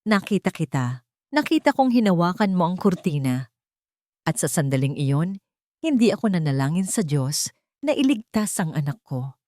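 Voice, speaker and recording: A Filipino woman voicing a hurt, coldly resolute young wife in an audio romance, speaking in a calm, bitter, measured manner. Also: on the low side, dry recording, studio quality. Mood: bitter grief.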